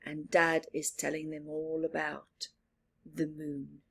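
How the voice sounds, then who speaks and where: Very slow, expressive woman storyteller. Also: sits low, vocal fry, slightly rough. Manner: expressive, drops into soft whispers. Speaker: children's story reader. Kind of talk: reading a picture book aloud to children.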